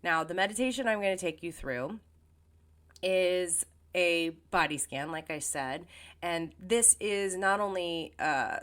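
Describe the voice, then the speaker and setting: A woman podcast host and life coach explaining a guided meditation in a gentle, conversational way. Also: lowish voice, a little slow.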